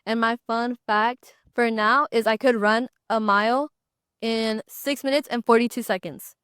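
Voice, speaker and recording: Young woman, college podcast co-host, smooth clear voice, easygoing, close dry sound. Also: on the high side, steady tone, unhurried, a bit bright, no echo. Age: young adult. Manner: friendly, easygoing, light laughs. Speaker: podcast co-host, student ambassador. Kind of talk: casual chat.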